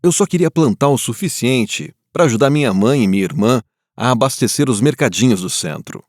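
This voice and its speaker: A man playing a grieving survivor of war, looking back in a solemn, reflective scripted first-person narration with weighty pauses. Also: mid-range voice, lively intonation, dry recording, clean studio sound, close to an everyday voice. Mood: wistful, reflective.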